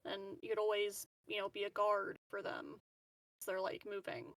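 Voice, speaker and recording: A woman's voice: mid-range voice, expressive, moderate pace, gravelly, fairly bright tone, dry recording. A woman playing a tabletop roleplaying game, chatting informally as she offers suggestions. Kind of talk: casual game chat.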